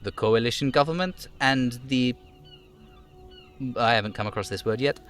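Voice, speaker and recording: A man's voice: medium pitch, moderate pace, a bit bright, faint music behind. A man streaming a game, reading its narration and dialogue aloud live. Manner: narrating, trying out character voices.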